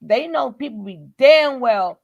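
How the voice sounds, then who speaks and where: A woman's voice: sits high, animated, measured pace, gravelly. A woman in a casual podcast discussion who talks bluntly, repeats her points and swears casually. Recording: dull lo-fi sound.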